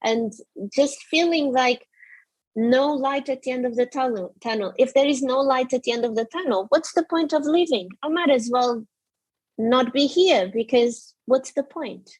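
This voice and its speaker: A woman's voice: sits high, normal speed, darkish tone, husky, no room sound, low-quality recording. A woman from Portugal with a Portuguese accent, a guest telling her story candidly, restarting often and saying "blah, blah, blah.". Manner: open, emotional, frequent restarts and fillers.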